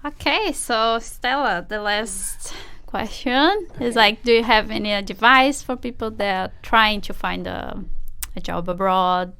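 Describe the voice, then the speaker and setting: Slow, gravelly-voiced woman hosting. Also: medium pitch, pushed and bright. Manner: slow, supportive, frequent agreement sounds. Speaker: podcast co-host. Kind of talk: casual interview.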